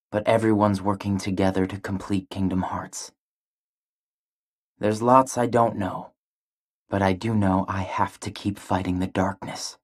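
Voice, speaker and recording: A man playing a withdrawn, naive young teenage boy in a video game, with a soft, breathy voice and a subdued, wondering delivery. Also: lowish voice. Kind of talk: introspective video game character monologue.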